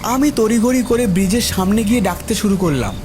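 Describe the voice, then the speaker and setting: A man's voice: high voice, animated, a little fast, over music. A man narrating a scripted horror story in the first person.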